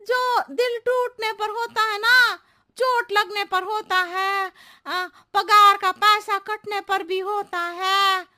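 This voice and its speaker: A woman voicing a scatterbrained, cheeky housemaid who talks in exaggerated, roundabout riddles for a comic animated story. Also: extremely high pitch, slow, heavily acted. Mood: cheeky, excited. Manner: exaggerated, circuitous riddling talk.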